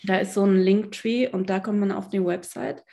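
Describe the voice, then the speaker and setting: A woman's voice: mid-range voice, steady tone, normal speed, vocal fry, warm tone. A woman with a mid-range voice talks as a podcast guest over a video call. Her speech is chatty and unpolished, full of restarts and small fillers. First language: not French. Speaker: guest, psychiatrist. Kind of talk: casual interview chat.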